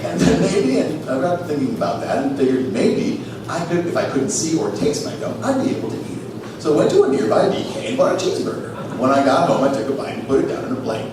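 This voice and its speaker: A man hosting a live comedy show, reading aloud for laughs with theatrical, drawn-out emphasis. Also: fairly high pitch, very animated. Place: small theater stage. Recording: echoey, noisy live recording from far off.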